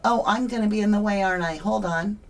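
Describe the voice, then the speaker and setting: A woman's voice: medium pitch, fast talker. A woman hosting a true crime live stream, chatting casually and greeting her viewers by name. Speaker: true crime livestream host.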